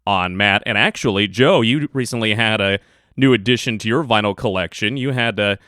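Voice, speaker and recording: A man's voice: on the low side, fairly quick, bright, forceful tone, dry recording, studio quality. A man co-hosting a music podcast, chatting casually, reading listener comments and plugging the show. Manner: upbeat, reads listener comments and show plugs. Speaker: podcast co-host.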